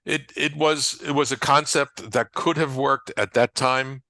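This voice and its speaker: A man speaking as a guest researcher in casual discussion, haltingly piecing his thoughts together. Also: mid-range voice, fairly even intonation, pushed and bright, no echo, studio quality. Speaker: guest, old-time radio researcher.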